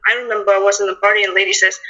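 A woman's voice: medium pitch, level tone, rapid-fire, pushed and bright, muffled recording. A woman with a Lithuanian accent, a stress management coach, telling her story on a video call with many fillers and restarts. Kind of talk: casual interview talk.

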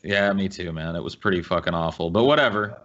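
A man's voice: deep voice, a little fast, fairly bright tone, dull audio. A man co-hosting a podcast in casual chat, slangy and given to swearing. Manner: slangy, profane. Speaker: podcast co-host.

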